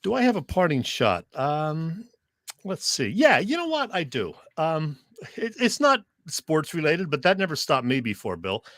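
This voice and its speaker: A man's voice: a little high, wildly swooping pitch, unhurried, fairly bright tone, dry recording. Casual male sports radio co-host, dry sound. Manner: conversational, joking.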